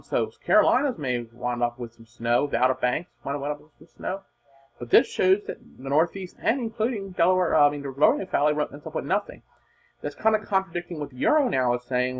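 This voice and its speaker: Husky man, very animated weather commentary. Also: medium pitch, rough recording. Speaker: amateur weather forecaster. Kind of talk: informal weather model commentary, unscripted.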